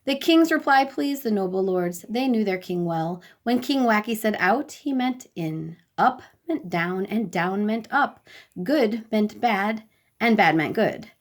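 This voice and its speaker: A woman storyteller reading a children's bedtime story in a deliberate, expressive way. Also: medium pitch.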